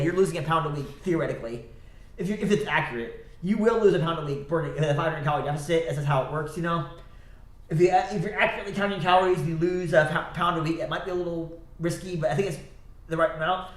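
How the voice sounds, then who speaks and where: A man talks fast and loose into his stream, stopping and restarting his sentences as his thoughts wander. His voice sits a bit high and sounds a little stuffy and scratchy. The room around him is echoey. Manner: rambling, halting, many restarts and self-corrections. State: stuffy nose, slightly scratchy throat. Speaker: livestreamer. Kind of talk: rambling solo livestream chat.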